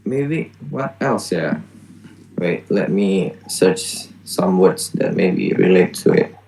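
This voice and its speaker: A man's voice: low voice, lively intonation, slow, vocal fry, darkish tone, slight background noise, poor audio. A young man from Indonesia with an Indonesian accent, answering interview questions hesitantly, English not his first language. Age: young adult. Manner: hesitant, many "like" and "you know" fillers.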